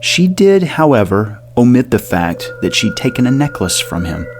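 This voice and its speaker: A man narrating a scripted gothic horror audio drama in a measured, dramatic delivery. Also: medium pitch, sing-song. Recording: music under the voice.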